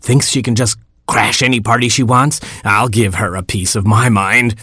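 A man voicing a gruff, protective king frog who mutters and blusters. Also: lowish voice, fairly even intonation, normal speed, sharp tone, no room sound, studio quality. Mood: grumbling.

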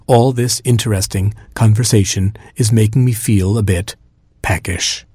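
A man voicing a sly, hungry male cat in a soft, drawling voice. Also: fairly low pitch, steady tone, normal speed, breathy edge, dry recording.

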